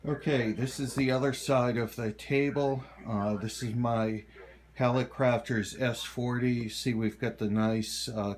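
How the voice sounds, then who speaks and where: Slow-talking man, casual show-and-tell. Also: medium pitch, a little mellow. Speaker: hobbyist presenter of a radio collection.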